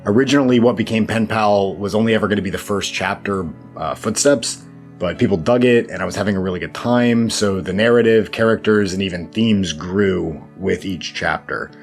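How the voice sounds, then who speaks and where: Low-voiced man, thoughtful and wry, over music. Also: moderate pace. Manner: thoughtful, self-deprecating, wry asides. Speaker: interview guest, horror fiction writer. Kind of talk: reflective interview answers. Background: background music.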